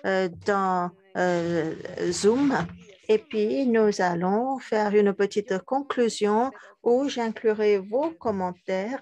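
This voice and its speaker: A woman with an Argentine Spanish accent, a native Spanish speaker, chairs an online conference session. She speaks very slowly and deliberately, stopping often to find her words. Her voice sits at a middle pitch for a woman. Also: a little mellow. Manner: deliberate, hesitant delivery with frequent pauses. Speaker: conference moderator.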